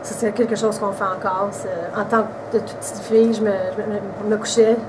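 Relaxed Québécois woman, distant mic. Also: medium pitch, normal speed. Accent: Québécois French. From Quebec, Canada. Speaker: interviewee. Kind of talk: casual interview answers. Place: indoors by a brick wall. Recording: distant camera mic with background hiss.

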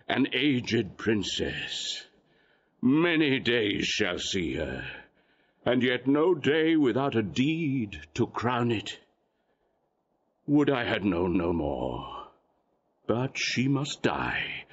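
Slow, solemn archbishop. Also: male voice, medium pitch, sing-song, slightly rough, dull audio, heavily acted. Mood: tender, grave. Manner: declamatory, reverent, oratorical. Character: solemn, exalted archbishop prophesying blessings. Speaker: actor playing an archbishop. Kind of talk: Shakespearean audio drama acting.

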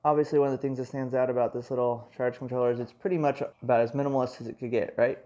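A man talks through a product review at a home table, chatty and full of asides. His voice is mellow and relaxed at a middle pitch. The sound is a little dull and lo-fi, as from a camera mic. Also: rapid-fire. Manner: conversational, explains with many asides. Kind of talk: casual hands-on product review.

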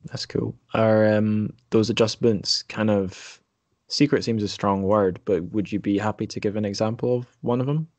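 A man's voice: lowish voice, steady tone, normal speed, a little mellow, dry recording. A man hosting a podcast, chatting casually and putting questions to a guest in an interview.

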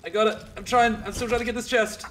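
A man with an Australian accent streaming a pirate game with friends, excitable and quick to laugh. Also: very high-pitched, a little hiss or hum. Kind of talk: casual gaming chat.

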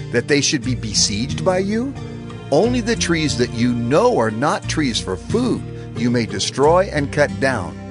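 A man narrating scripture in a measured, formal way for an audio drama. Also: medium pitch. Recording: studio narration over music.

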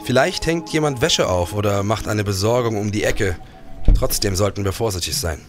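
A man's voice: lowish voice, lively intonation, normal speed, faint music behind, close to an everyday voice. An actor playing an earnest, dramatic policeman investigating a crime in a historical audio drama. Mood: cautious. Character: male security policeman investigating and narrating a historical crime case. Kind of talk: German audio drama acting.

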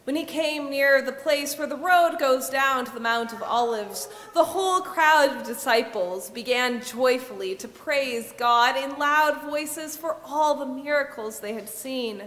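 A young woman reads scripture from a lectern, slow and careful, her voice sitting high. The large church adds a long, ringing reverb. Her words drift out unhurried. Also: a bit bright. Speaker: scripture reader in a church service. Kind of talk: reading scripture aloud. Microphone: lectern microphone.

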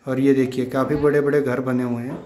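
Even-toned man vlogging, noisy audio. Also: mid-range voice, fairly quick. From Himachal Pradesh hills, northern India. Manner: repetitive show-and-tell, addressing viewers as friends. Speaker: village vlogger. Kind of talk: casual vlog narration of a village walk.

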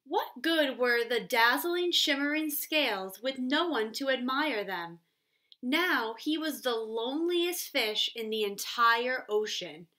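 A woman's voice: fairly high pitch, animated, sharp tone. A woman in her twenties, a schoolteacher explaining and reading aloud to young children with teacherly emphasis. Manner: clear teacherly emphasis, pauses for questions. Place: small room at home, kitchen.

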